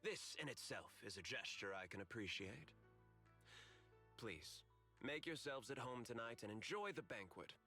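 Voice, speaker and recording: An actor playing a smooth, courteous male company manager in a video game, speaking in a formal, diplomatic manner. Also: mid-range voice, lively intonation, normal speed, pushed and bright, faint music behind. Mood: gracious, welcoming. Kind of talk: scripted video game voice acting.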